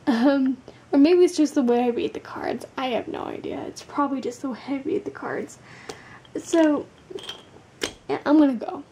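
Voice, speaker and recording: A woman doing a casual, blunt tarot card reading, tired, with a gravelly, sleepy voice, swearing casually and using lots of fillers. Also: high-pitched, lively intonation, moderate pace, dark tone, a little hiss or hum.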